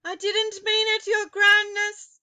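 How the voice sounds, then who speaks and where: A woman acts out a wretched, timid witch from a children's storybook. The voice is very high and sharp, crying out and pleading in fear of a terrifying leader. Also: completely flat delivery, takes it slowly, heavily acted. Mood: frightened pleading. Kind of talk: dramatic storybook character reading.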